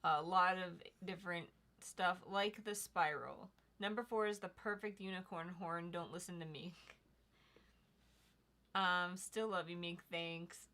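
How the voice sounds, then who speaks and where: Bright-voiced woman chatting on a livestream. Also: lowish voice, unhurried. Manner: relaxed, chatty, reads viewer comments aloud. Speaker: livestream co-host reading chat. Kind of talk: casual livestream chat.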